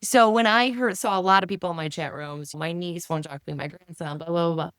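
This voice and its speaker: A woman's voice: lowish voice, a bit bright, clean tone, no echo, studio quality. A woman author being interviewed on a podcast, chatty and emphatic as she answers. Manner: chatty and emphatic, quick agreements. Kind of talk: casual interview talk.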